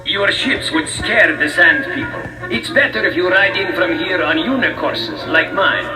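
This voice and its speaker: Wise, grave professor, high-pitched, cartoon storybook style, music behind. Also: male voice, unhurried, rough recording. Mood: earnest, grave. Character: earnest professor, wise and grave alien ally. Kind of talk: dramatized children's storybook acting.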